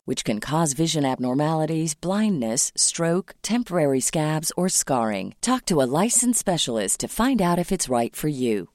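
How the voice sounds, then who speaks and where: A woman reads scripted advertising copy as an announcer. Her voice sits low, with animated movement in pitch, and the recording is very dry. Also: no echo. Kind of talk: scripted ad narration.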